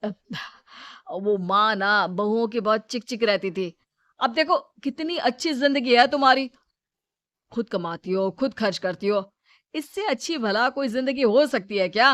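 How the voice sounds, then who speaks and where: A woman playing a selfish, smooth-talking adult son with a casual, self-serving manner in a Hindi moral story. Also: on the high side, unhurried, fairly bright tone, no room sound. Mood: glib.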